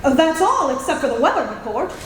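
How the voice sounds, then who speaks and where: A woman playing an inquisitive, sharp-tongued newspaper reporter in an old-time radio drama, insistent and theatrical. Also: sits high, very animated, fast. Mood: intrigued. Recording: echoey hall, roomy, faint noise.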